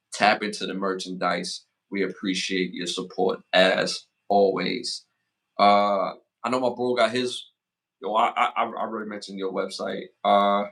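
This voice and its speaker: A man in his forties hosting a casual podcast, giving motivational advice and shout-outs, repeating phrases for emphasis. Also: lowish voice. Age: 40s. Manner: hypes the chat with rapid shout-outs. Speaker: podcast host.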